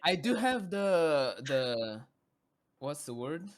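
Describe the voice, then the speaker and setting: Young guy from brazil, bit higher voice, swears a lot, keeps restarting his sentences. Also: very animated, a loud crowd. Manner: swears casually, halting restarts. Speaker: podcast co-host. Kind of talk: casual chat.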